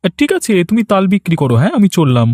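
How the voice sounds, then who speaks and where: A man's voice: sits high, huge pitch swings, quick pace, a little mellow, no echo, heavily acted. A man voicing a nosy, teasing village gossip in a cartoon.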